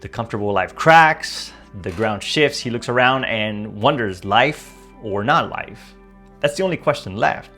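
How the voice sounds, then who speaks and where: A man's voice: mid-range voice, creaky, a bit bright. A man hosting a podcast over a video call, narrating a dramatic intro and then chatting hesitantly with many fillers. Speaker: podcast host. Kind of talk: scripted narration then casual interview.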